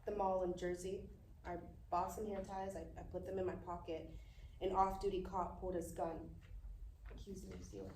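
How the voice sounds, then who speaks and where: A woman's voice: lowish voice, fairly quick, a little mellow, a little hiss or hum, close to an everyday voice. A woman playing a young, guarded niece with an understated, casual manner in a live table read. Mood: calm, guarded. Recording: echoey, distant live stage recording.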